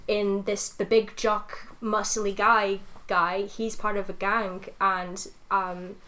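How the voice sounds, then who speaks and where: A woman's voice: mid-range voice, flat delivery, slow, pushed and bright. A young woman co-hosts a casual podcast. She tells stories informally and a bit hesitantly, in a mid-range voice. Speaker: podcast co-host.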